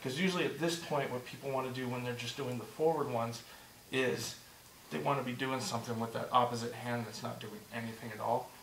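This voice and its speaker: A man teaching a movement drill step by step, encouraging and repeating himself, slightly hoarse and short of breath. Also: medium pitch, fairly even intonation, a little fast, hardly any pauses, fairly bright tone, roomy sound, far from the mic, slight background noise, poor audio. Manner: encouraging, repeats "just like that" and "good". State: losing his voice, a bit out of breath. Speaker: instructor. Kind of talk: step-by-step demonstration lesson.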